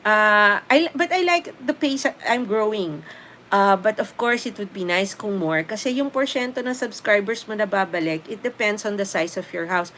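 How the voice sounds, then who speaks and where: A Filipino woman hosts a relaxed live stream, reading out viewer comments and answering them in a chatty, easygoing way, slipping into Tagalog now and then. Her voice sits in a middle pitch and has a Filipino accent. A faint ambient noise hangs under the recording. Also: moderate pace. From Philippines. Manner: chatty, reads comments aloud and answers, mixes in Tagalog, laughs often.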